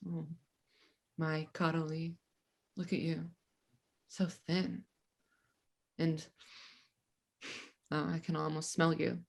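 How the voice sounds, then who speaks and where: A woman acting a tender, worried wartime mother who pleads haltingly, in an amateur stage reading. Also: deep voice, flat delivery, gravelly, a little mellow, heavily acted. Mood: tender longing. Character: worried young mother and wife in wartime Budapest. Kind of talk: amateur stage play reading over video call.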